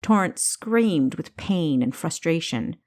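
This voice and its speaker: A woman with a slightly low voice narrates an action-driven audiobook. She reads in a dramatic, deliberate manner, enunciating each phrase with care. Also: expressive, a little mellow. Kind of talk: scripted narration of an action story.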